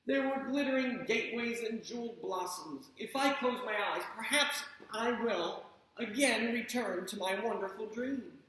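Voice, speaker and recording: A woman voicing a grumpy old man woken from a dream, grumbling slowly and dreamily. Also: fairly high pitch, a bit bright, slight background noise, natural voice. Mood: grumpy dreamy. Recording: echoey room.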